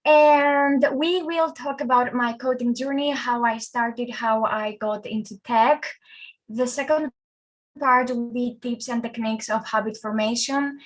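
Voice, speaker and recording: A woman's voice: a little high, a bit bright, rough recording. A young woman from Greece, a front-end developer, presents a conference talk in a friendly, conversational way with fillers and restarts.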